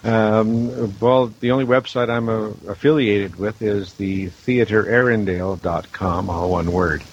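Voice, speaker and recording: A man explaining casually in a halting, unhurried way as a panel guest. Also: low-pitched. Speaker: audio producer, panel guest. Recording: dull, noisy call audio.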